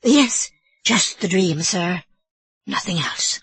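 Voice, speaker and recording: A woman's voice: fairly low pitch, expressive, slow, a bit bright, breathy edge, no room sound, heavily acted. A woman voicing an anxious elderly housekeeper woman with an Irish brogue, apologetic and deferential. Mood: hesitant. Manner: apologetic, deferential, sir-ing constantly.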